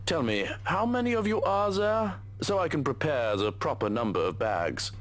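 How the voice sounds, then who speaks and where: A man's voice: on the high side, big pitch swings, moderate pace, fairly bright tone, pitched above the performer's own voice. A man voicing a mock-polite, menacing German butler who taunts his victims in a visual novel game. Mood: gleeful taunting. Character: menacing German ex-military butler, mocking and coolly cruel.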